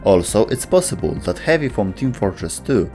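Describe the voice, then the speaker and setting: A man's voice: on the low side, big pitch swings, normal speed, darkish tone. A man narrating a scripted video essay about game lore. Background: music.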